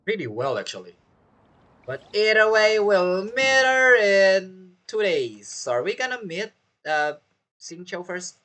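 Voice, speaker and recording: Lively casual game streamer woman. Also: mid-range voice, a little slow. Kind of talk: casual gameplay commentary.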